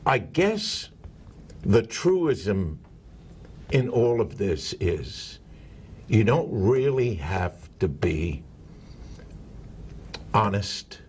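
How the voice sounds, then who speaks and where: A man's voice: fairly low pitch, big pitch swings, drawn-out words, pauses often, a little mellow, a little hiss or hum. An older man, a veteran broadcaster, chatting as an interview guest and telling stories with jokes at his own expense. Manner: chatty, joking storyteller. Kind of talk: casual interview chat.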